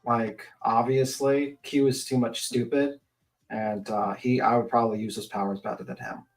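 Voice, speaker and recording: A man's voice: medium pitch, fairly even intonation, moderate pace. A man in casual panel chat who jokes, dodges questions and rambles. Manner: joking, evasive, rambling. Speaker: panel guest.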